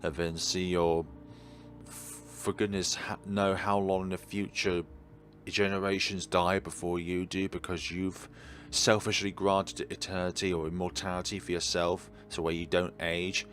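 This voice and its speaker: A man's voice: low-pitched, level tone, unhurried, a bit bright. A man doing a let's-play, reading aloud and adding musing, rambling commentary of his own. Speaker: video game streamer. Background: faint background game music.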